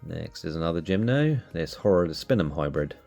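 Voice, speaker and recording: A man hosting a cactus channel, sounding nasal from allergies, calmly explaining as he narrates. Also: low-pitched, fairly quick, vocal fry, faint music behind. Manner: relaxed, explanatory. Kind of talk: casual voice-over narration.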